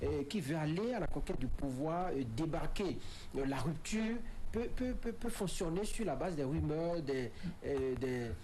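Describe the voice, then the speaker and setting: A man hosting a televised political debate. He asks long questions that are full of fillers and searching pauses. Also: a little high, animated, warm tone, a little hiss or hum. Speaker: TV debate host. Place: TV studio.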